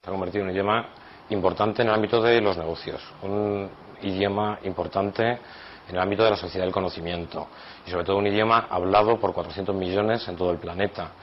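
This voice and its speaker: Deep guy rattling off points quick in an interview, muffled, bit of hiss. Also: steady tone. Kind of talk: interview answer. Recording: lo-fi recording with faint noise.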